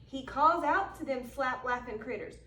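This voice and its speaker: Woman reading a bedtime picture book, very high voice, slow, echoey room. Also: far from the mic. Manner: slow expressive storytelling with Cajun-flavored phrasing. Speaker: storybook reader. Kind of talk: animated read-aloud for children.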